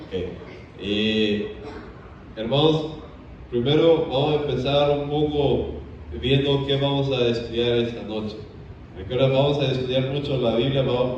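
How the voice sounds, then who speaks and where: A man's voice: lowish voice, a little mellow, a little hiss or hum. Guy giving a church seminar, lots of eh's, far off mic, big echo, muffled. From Belize. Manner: frequent "eh" hesitations. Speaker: theology student teaching a church seminar.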